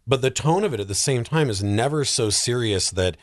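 A man chatting casually as a guest film reviewer on a podcast, animated and slangy in his delivery. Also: medium pitch, a little fast, dry recording, studio quality.

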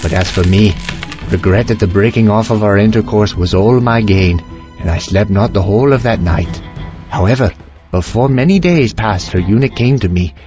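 A man's voice: on the low side, moderate pace, darkish tone, soft background music. A man narrating an audio drama in the first person as a young merchant, with theatrical, archaic storybook diction.

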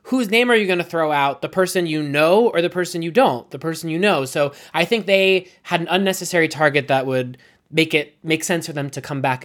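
A man's voice: on the high side, a bit bright. Rambling, chatty podcast host man. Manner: long run-on questions with frequent self-corrections. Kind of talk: casual interview chat.

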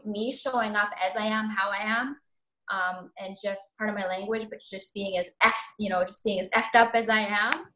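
A woman's voice: medium pitch, sharp tone. A woman guest on a podcast speaking earnestly and encouragingly, candid and a little profane. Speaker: podcast guest, recovery advocate. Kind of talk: casual interview chat. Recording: roomy echoey sound.

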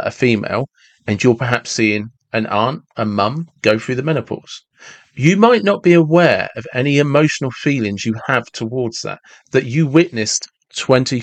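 A lively man in his forties, thinking aloud in casual conversation and tripping over repeated words as he works through an idea. The sound is close and dry, with almost no room tone. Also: medium pitch, moderate pace, no room sound. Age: 40s. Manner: animated, restarts and repeats words when reasoning aloud. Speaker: podcast host.

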